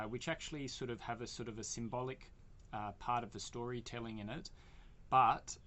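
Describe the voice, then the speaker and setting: A man speaks casually at a middle pitch, as a podcast editor making a recommendation. He searches for his words a little as he goes. There is clear noise behind his voice. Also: fairly bright tone.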